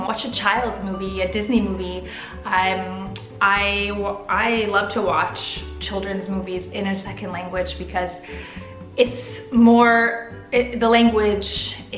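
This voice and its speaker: A young American woman vlogging to camera from her living room, upbeat, expressive and chatty. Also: medium pitch, echoey room. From United States. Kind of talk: casual to-camera vlog. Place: living room with sofa, indoors.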